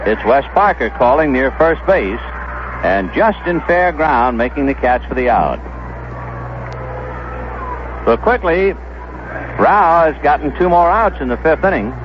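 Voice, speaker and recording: A man's voice: mid-range voice, dull audio, noisy background. A man calling baseball play-by-play as a radio announcer, on an old 1970s broadcast. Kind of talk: radio sports commentary.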